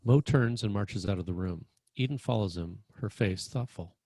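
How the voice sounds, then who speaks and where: Low, gravelly male narrator, dry sound. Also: moderate pace, mellow, dry recording. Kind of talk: scripted narration of screenplay directions.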